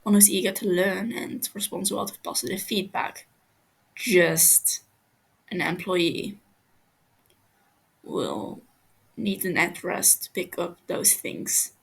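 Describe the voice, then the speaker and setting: A woman voicing a calm, menacing male interrogator in an audio story, deadpan and unhurried. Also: lowish voice, creaky, slightly rough, heavily acted, poor audio. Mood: detached.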